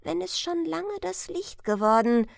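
A woman's voice: very high-pitched, lively intonation, a little slow, creaky, dry recording, studio quality, pitched above the performer's own voice, full character voice. A woman voicing a solemn, declamatory stork messenger bearing a holy child in a fairy-tale audiobook. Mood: solemn, excited.

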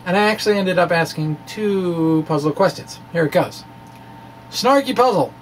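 A man's voice: high-pitched, moderate pace. Quirky man over noticeable background noise. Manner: quirky, theatrical, jokey, folksy. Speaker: science video blogger. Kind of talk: dramatic reading of a physics blog with informal asides. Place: small indoor room with bookshelves. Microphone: microphone on a stand in front of him.

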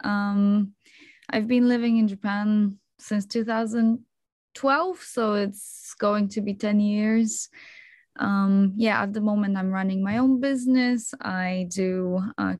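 A woman in her thirties from Poland, a native Polish speaker, chatting as a guest on a video call, relaxed and sprinkled with fillers and small laughs. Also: medium pitch, takes it slowly, no echo, clean studio sound. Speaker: guest, kimono stylist and photographer. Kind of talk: casual video-call interview. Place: small room at home.

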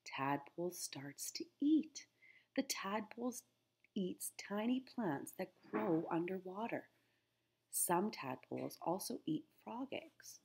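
A woman's voice: on the low side, gravelly, a little mellow, breathy edge. A middle-aged woman teacher reading a children's nature book aloud, phrasing things simply and putting questions to young listeners.

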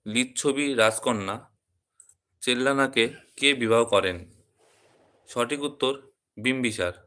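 A man's voice: medium pitch, measured pace, pushed and bright. A man teaching a quiz lesson, calling out questions and answers in short, separate phrases. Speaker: quiz teacher at a coaching centre.

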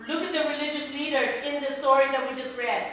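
A middle-aged woman from the Philippines preaching a sermon in a church, animated and repeating words for emphasis. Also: sits high, fast talker. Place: church sanctuary, indoors. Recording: very echoey hall, a bit distant, faint hum.

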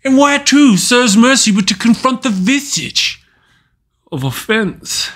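A man playing a tormented, guilt-ridden usurper king in a Shakespearean stage soliloquy, with dramatic, weighty pauses. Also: very high-pitched, expressive, fairly bright tone, heavily acted. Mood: anguished pleading.

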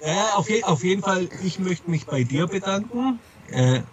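A man's voice: sits high, expressive, moderate pace, a crowd in the background, low-quality recording. A man being interviewed as a publisher, chatting casually in a rambling way and often repeating himself. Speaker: comics and audio drama publisher, interview guest.

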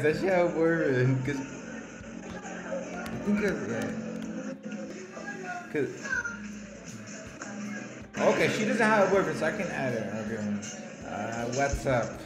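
A man's voice: on the high side, expressive, takes it slowly, pauses often, reverberant, music in the background, low-quality recording. A man in his twenties chatting playfully and flirting on a webcam in a small room, laughing often. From United States. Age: 20s. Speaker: vlogger chatting with strangers. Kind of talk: casual chat.

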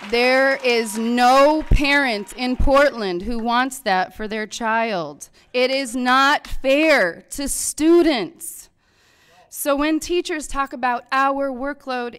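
A woman's voice: high-pitched, smooth. A woman in her thirties, a teacher reading a prepared public comment at a podium microphone in a large meeting hall, earnest and pleading. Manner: earnest, pleading, rising to loud emphasis.

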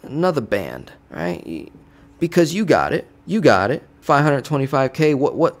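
A young man giving a relaxed whiteboard finance lesson from a home studio on a boom-arm mic, often checking in with "right?". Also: normal speed. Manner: casual, conversational, keeps asking "right?". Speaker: finance educator.

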